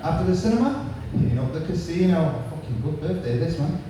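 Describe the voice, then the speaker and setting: A man's voice: fairly high pitch, very animated, fairly quick. A man in his thirties with a Manchester accent, doing a crude, punchy stand-up set on a pub stage. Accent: Manchester English. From England. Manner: punchy crude joke delivery. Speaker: stand-up comedian. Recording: echoey room from far off, noisy.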